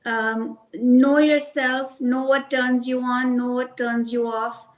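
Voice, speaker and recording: A woman writer talking on a panel over a video call in a measured, articulate way. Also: sits high, flat delivery. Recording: echoey room on a video call.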